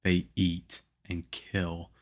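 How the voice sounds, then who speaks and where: A man playing a stern, aloof young swordsman mentor in a roleplay for the listener, with a harsh, measured, deadpan manner. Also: low voice. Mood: stern, scolding. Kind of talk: ASMR roleplay acting addressed to the listener.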